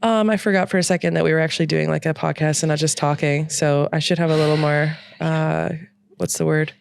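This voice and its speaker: Giggly woman with a lowish voice. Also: steady tone, brisk, vocal fry. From Ontario, Canada. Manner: giggly, self-deprecating, rambling. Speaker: podcast host. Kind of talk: casual unscripted podcast chat. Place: hotel room, lying in bed.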